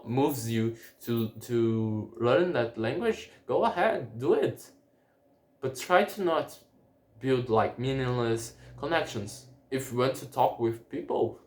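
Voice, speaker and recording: Young Brazilian man, non-native English, slow and halting, roomy echo on the voice. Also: medium pitch, a little mellow. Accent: Brazilian Portuguese. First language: Portuguese. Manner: halting, many restarts and repeated words. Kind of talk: casual personal monologue.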